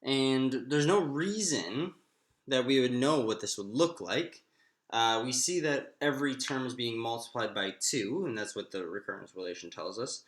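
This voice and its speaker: A man giving an informal math lecture, thinking aloud in a halting way with drawn-out pauses. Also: mid-range voice. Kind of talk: informal lecture, working through a derivation aloud. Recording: roomy, echoing room sound.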